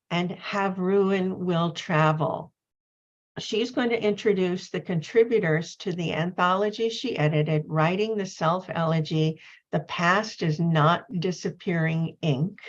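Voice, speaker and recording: A woman hosting an online poetry reading with an informal, effusive and apologetic introduction over a video call. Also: lowish voice. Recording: dull, lo-fi video call audio.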